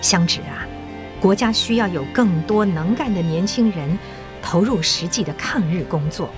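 An actress playing a middle-aged female school principal who secretly recruits agents, speaking in a measured, coaxing and kindly authoritative way in an audio drama. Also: fairly low pitch, music in the background, natural voice. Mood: earnest persuasion. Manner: measured, coaxing, elder's patient tone.

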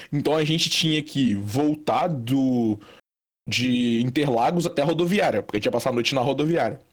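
A young man from Brazil telling a long, rambling anecdote in casual chat, full of fillers and restarts. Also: medium pitch. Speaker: storyteller guest.

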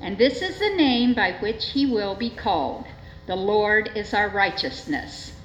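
A woman gives a formal scripture reading in a measured, solemn manner at a church service. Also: medium pitch, lively intonation. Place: indoors in a church. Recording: echoey church, dull sound, faint noise.

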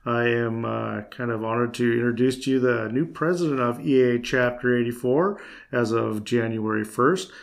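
A man acts as interviewer on a casual podcast. His voice sits at a medium pitch, and he talks in an informal, folksy, conversational way. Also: normal speed, creaky.

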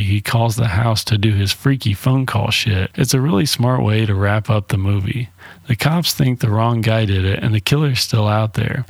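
A man with a low, gravelly voice talks like a casual podcast host. He is blunt and informal as he reviews, and the sound is close and dry. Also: darkish tone, dry recording. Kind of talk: casual solo movie review.

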